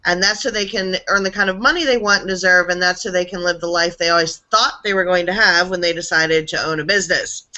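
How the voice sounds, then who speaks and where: A hoarse woman with a bright, forceful edge to her voice gives a lively, practiced pitch about her work. She comes through a dull, lo-fi call line. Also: fast, few pauses. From South Florida, US. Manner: upbeat, punchy sales pitch delivery. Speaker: guest. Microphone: video call, not on camera. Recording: lo-fi online call audio.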